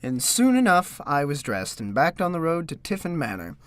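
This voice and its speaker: An actor playing a pompous, earnest gentleman decorator, telling his gothic comedy tale in a theatrical, melodramatic manner. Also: fairly high pitch, wildly swooping pitch, normal speed, clear, resonant tone, dry recording. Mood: tense storytelling. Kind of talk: theatrical first-person gothic comedy narration.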